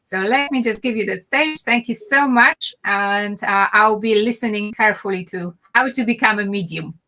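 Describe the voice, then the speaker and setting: A woman's voice: medium pitch, moderate pace, bright, forceful tone. A woman hosting an online talk over a video call, introducing her guest politely with many hesitant fillers. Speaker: host. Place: London.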